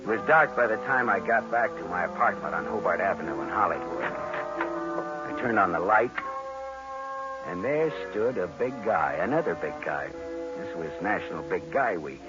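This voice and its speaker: An actor playing a deadpan, wisecracking private detective who narrates his case in old-time radio noir style. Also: sits low, moderate pace. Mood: wry narration. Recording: dull vintage radio recording with music.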